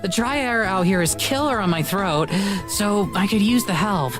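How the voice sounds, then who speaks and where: A woman's voice: medium pitch, lively intonation, normal speed, lightly acted. Woman acting a brash young paranormal show host. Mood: showy cheer. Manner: casual, salty, performed cheer. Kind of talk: visual novel character acting. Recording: music behind the voice.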